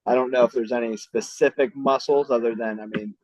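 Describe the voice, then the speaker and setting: A man's voice: medium pitch, fairly even intonation, brisk, dry recording. A man working as a mobility trainer, giving loose, conversational answers full of "I mean" and "right?". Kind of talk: casual explanatory interview answers. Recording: dull lo-fi sound.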